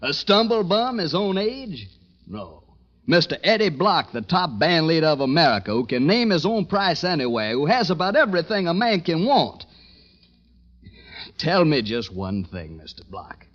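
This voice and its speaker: Exasperated police commissioner, reverberant sound. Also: male voice, a little high, sing-song, moderate pace, a bit bright. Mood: exasperated, persuasive. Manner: emphatic, probing, persuasive. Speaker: radio drama actor. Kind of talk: 1940s-50s radio suspense acting. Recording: muffled old radio recording, long reverb.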